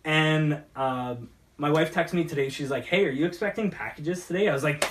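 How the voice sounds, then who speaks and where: A man's voice: fairly high pitch, a little fast. A chatty, enthusiastic man hosting a casual talk show, bantering as he mixes drinks. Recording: roomy, distant mic with noise and clicks.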